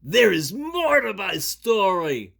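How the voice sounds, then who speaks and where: A man voicing a worried big elephant from a children's picture book, slow, loud and emphatic. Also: high voice, big pitch swings, creaky, voice pushed up, heavily acted. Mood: storytelling. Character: worried big elephant, storybook best friend.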